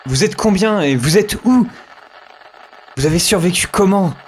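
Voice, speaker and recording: A man's voice: high-pitched, expressive, moderate pace, a little hiss or hum. A young male survivor of a zombie apocalypse, acted by a man tells his story in an audio drama. He is lonely and shaken, and speaks in an informal, hesitant way. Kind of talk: audio drama acting, first-person narration.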